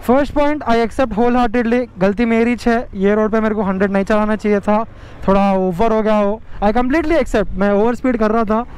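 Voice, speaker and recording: A man's voice: high voice, normal speed, a little mellow, clear, resonant tone, rough recording. A young man from India with a Hyderabadi accent, casually vlogging with emphatic, repeated phrases. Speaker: motovlogger. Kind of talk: casual vlog narration. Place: outdoors on a highway roadside and riding a motorbike. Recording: outdoor roadside and road noise.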